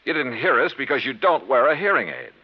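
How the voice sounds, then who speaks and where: An actor playing a sharp, confident insurance investigator who narrates in brisk, wry 1950s radio detective style. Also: male voice, mid-range voice, animated, natural voice. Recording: muffled 1950s radio recording with music.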